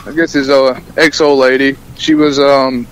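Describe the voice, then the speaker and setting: A man, a guest calling into a radio show, talking casually with frequent laughs and halting restarts. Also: medium pitch, flat delivery, slight background noise, low-quality recording. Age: 20s. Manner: laid-back, laughs often, drawling restarts. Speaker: guest musician, soldier. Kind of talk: casual phone-in interview. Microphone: phone call.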